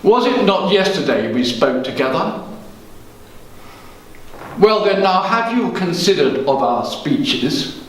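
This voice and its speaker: A man performs as a guilty, scheming king in a Shakespearean tragedy on stage. His voice sits high and he declaims slowly, with bitter, brooding weight. The sound is distant, swimming in heavy room echo. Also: very animated, exaggerated voice. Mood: persuasive, scheming. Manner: slow, declamatory, theatrical. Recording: echoey room, distant microphone.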